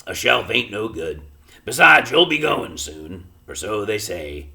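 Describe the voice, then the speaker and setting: A man reading aloud and voicing a small, stubborn working-class father who speaks in a flat, expressionless way with rustic grammar. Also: on the low side, measured pace, gravelly, voice pushed up, full character voice. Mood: gruff, firm.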